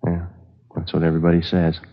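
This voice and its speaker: An actor playing an offhand jail cellmate, terse and noncommittal, in a 1950s radio crime drama. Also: male voice, deep voice, monotone, fast, soft background music, natural voice. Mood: casual, noncommittal. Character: laconic, offhand male jail cellmate, feigning indifference. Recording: muffled, noisy 1950s radio recording.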